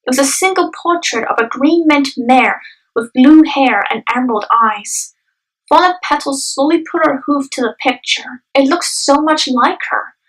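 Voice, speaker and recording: A woman's voice: high-pitched, normal speed. A young adult woman reading scripted dramatic narration for a story, recorded echoey and off the microphone. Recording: distant echoey home microphone. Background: soft music.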